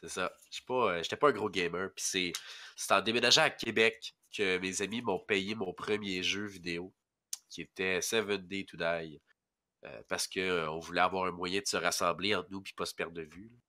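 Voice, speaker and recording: A man's voice: low voice, fairly even intonation, moderate pace, pushed and bright. A young man from rural Quebec with a Québécois accent, stuffy from a head cold, chatting casually as a podcast guest and rambling through lots of fillers. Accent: Québécois French. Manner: rambling, hesitant, with many fillers. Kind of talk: casual chat.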